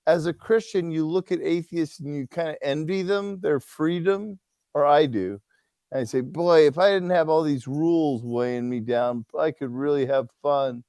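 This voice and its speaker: Higher-voiced priest telling stories with a mellow tone. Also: male voice, clear, resonant tone, dry recording, studio quality. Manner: animated storyteller who laughs often. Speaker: priest and guest author. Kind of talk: casual livestream conversation.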